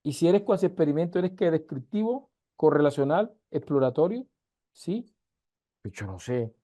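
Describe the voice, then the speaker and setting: A man's voice: on the high side, expressive, moderate pace, dark tone. A man from Colombia's Caribbean coast, with its accent, teaching an online university class in an emphatic way full of rhetorical questions, on a video call. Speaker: university lecturer.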